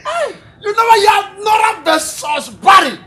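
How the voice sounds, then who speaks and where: Excitable, high-pitched Nigerian gossip. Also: female voice, brisk, noisy background. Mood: excited shock. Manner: exclaiming, repetitive, prodding, Pidgin phrasing. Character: nosy, excitable village gossip, theatrically shocked.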